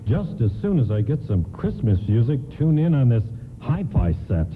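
Man playing animated, kindly department store doorman. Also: mid-range voice, moderate pace, natural voice. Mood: reassuring. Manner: avuncular, reassuring, hesitating politely. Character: kindly, patient middle-aged 1950s department store doorman. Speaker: radio play actor. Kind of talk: nostalgic radio play acting. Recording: lo-fi hissy radio play recording.

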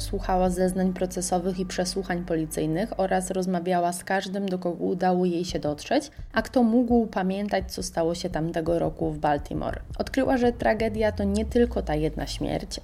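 A woman radio host reading a scripted podcast recommendation at an even, measured pace. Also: fairly low pitch, creaky, slight background noise.

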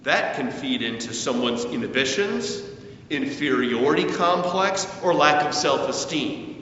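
Echoey, animated male preacher. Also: unhurried. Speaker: pastor. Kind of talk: sermon. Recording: echoey hall, distant mic, muffled, faint rumble.

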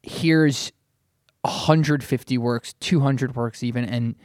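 A man's voice: medium pitch, fairly even intonation, slow, darkish tone, dry recording, studio quality. A man hosting a podcast in a casual, opinionated way, discussing art informally. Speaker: podcast host.

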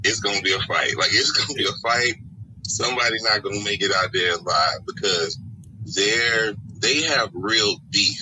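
A man from Atlanta with an Atlanta Southern accent, a podcast guest talking in a rambling, animated way and laughing often. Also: fairly low pitch. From Atlanta, Georgia. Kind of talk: casual storytelling chat. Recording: phone call with faint background noise.

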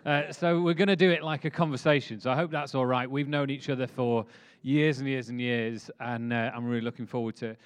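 Male host, church meeting interviewer, halting and friendly, average pitch. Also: steady tone, clean tone. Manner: hesitant, friendly, lots of fillers and laughs.

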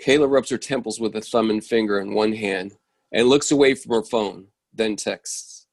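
A man's voice: lowish voice, moderate pace, dry recording. A man acting as narrator, reading out stage directions as scripted narration.

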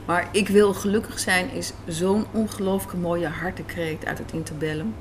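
A woman's voice: lowish voice, fairly even intonation, moderate pace. A woman giving a conversational interview as a radio drama maker, recorded in a noisy café. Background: café noise.

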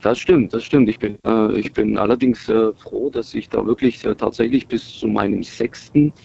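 A man's voice: fairly low pitch, fairly even intonation, moderate pace, gravelly, a little mellow, slight background noise. A middle-aged man, a native Italian speaker raised in Germany, calls in to a radio show and talks hesitantly with many fillers and restarts. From Italy, moved to Germany at six. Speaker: radio caller. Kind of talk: casual phone-in chat. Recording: muffled phone-in call on speakerphone.

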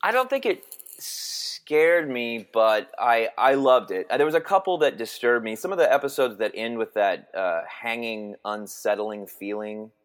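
A man in his forties from Texas, a guest chatting in an interview, who talks in halting bursts with many restarts. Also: mid-range voice, expressive. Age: 40s. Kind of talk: casual interview chat.

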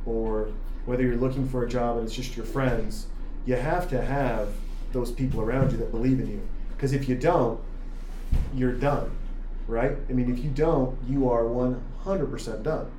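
A man's voice: mid-range voice, mellow. Rambling man in an echoey room. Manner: rambling with many restarts. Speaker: podcast host. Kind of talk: casual chat. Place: Austin, Texas. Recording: echoey room with faint noise.

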